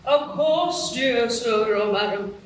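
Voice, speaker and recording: A woman playing a gentle, overly polite narwhal in a live radio play. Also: on the high side, lively intonation, takes it slowly, heavy reverb, far from the mic, background noise. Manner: formal, courteous speech.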